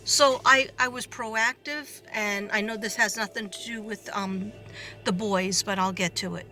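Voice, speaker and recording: Hoarse lady rambling on to her viewers, lots of ums and restarts, bright voice, soft music in the back. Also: mid-range voice, steady tone, measured pace. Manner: rambling, frequent fillers and restarts. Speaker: psychic reader. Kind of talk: casual monologue to viewers.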